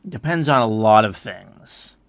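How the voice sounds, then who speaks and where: A man from the United States hosting an educational podcast, explaining things in a relaxed way with many "I mean"s and restarts. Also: fairly low pitch, unhurried, dry recording. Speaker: podcast host.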